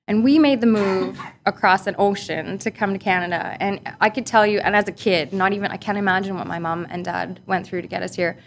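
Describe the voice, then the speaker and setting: Reflective woman from Barbados, mid-pitched. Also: a little fast, few pauses, a little hiss or hum. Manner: reflective, frequent fillers, laughs easily. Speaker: guest, policy analyst. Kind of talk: casual interview conversation. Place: office boardroom.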